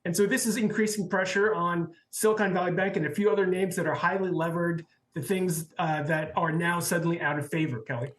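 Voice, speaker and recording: A man working as a banking reporter, explaining developments off the cuff in a halting way on live news. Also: high voice, fairly even intonation. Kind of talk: live unscripted news analysis. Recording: roomy, echoey sound.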